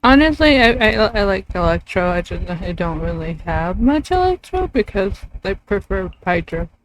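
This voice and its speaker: A woman's voice: medium pitch, very animated, moderate pace, rough recording. A woman chatting casually as she streams a video game, easily distracted and loose with her language. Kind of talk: casual live gameplay chat. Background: background noise with a loud pet dog.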